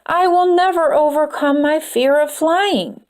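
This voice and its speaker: A woman's voice: sits high, measured pace. A woman voicing a timid young male caterpillar in a storybook, worried and hesitant. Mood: fearful.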